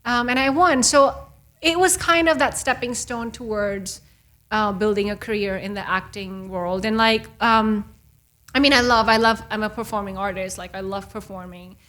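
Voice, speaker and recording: A woman's voice: mid-range voice, normal speed, fairly bright tone. A woman from India, a guest in an interview, chatting in a rambling way with lots of fillers. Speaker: guest, actress and model. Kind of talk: casual interview chat.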